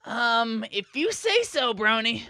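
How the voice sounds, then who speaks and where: Woman voicing a cocky, high-pitched young pegasus colt. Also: big pitch swings, slow pace, sharp tone, no echo, clean studio sound, exaggerated voice. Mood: puzzled. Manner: boastful, casual, slangy. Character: brash, cocky young male pegasus pony. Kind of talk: character acting in a fan-fiction audio story.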